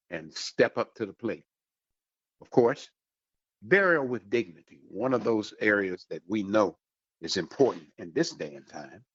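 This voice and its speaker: A man hosting a radio program, giving announcements in a deliberate, emphatic way with a husky voice. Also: on the low side, fairly bright tone, no echo. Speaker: radio host. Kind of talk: promotional radio talk with announcements. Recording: dull lo-fi radio recording.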